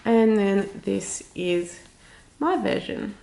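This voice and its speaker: A woman's voice: medium pitch, lively intonation, slow pace, vocal fry, dark tone, slight background noise. A woman with a cold and a snuffly nose, chatting informally through a step-by-step craft tutorial. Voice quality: snuffly, slightly stuffed-up nose. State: has a cold. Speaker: crochet tutorial host.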